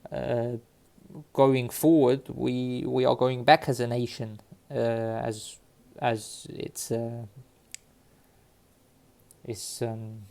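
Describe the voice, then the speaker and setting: A man from Cyprus talking casually to his viewers, halting often with fillers and restarts. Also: medium pitch, flat delivery, vocal fry, a little mellow. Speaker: vlogger sharing DNA results. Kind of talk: casual talk to camera. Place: London.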